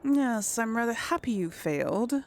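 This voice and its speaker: A woman's voice: on the high side, animated, a little slow, a little hiss or hum. A woman hosting a casual role-play let's play, talking in a hesitant, thoughtful, giggly way. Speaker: gaming video host.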